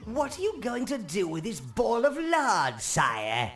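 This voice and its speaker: A man's voice: high voice, huge pitch swings, a little slow, a bit bright, full character voice. An actor playing a scheming old male minion advisor in a video game cutscene, gleefully sinister. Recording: game audio with music behind.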